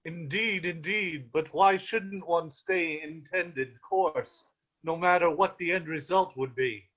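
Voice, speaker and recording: A man plays a proud old bishop in a stage read-through. The voice is quite high and slow, rueful and formal. The online audio is muffled. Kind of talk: acting in a virtual table read.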